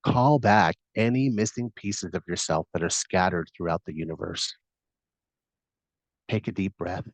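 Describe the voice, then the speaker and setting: A man narrating a scripted guided meditation as the guide, giving instructions in a soothing, measured manner. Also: deep voice, no room sound, poor audio.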